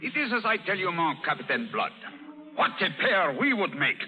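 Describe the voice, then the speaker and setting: Boastful French pirate captain, French accent, broken English, very animated, theatrical radio play delivery, dull old recording. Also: male voice, high voice, normal speed, long reverb tail, faint music behind. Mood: jovial, persuasive. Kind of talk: 1930s radio drama acting.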